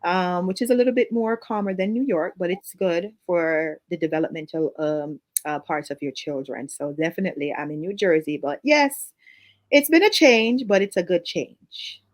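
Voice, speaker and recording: Chatty Jamaican woman, slightly low voice. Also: normal speed, no echo. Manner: chatty, laughs easily, trails into fillers. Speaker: guest. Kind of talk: casual interview conversation.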